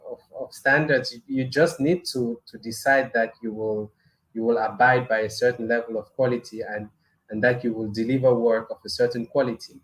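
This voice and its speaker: A man's voice: mid-range voice, fairly even intonation, normal speed, poor audio. A middle-aged man from Cameroon, hosting a live broadcast in a casual way, with frequent restarts, fillers and small chuckles. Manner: hesitant, many restarts and fillers, chuckles often. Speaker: host of a weekly live broadcast on space engineering. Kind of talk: casual live talk to viewers.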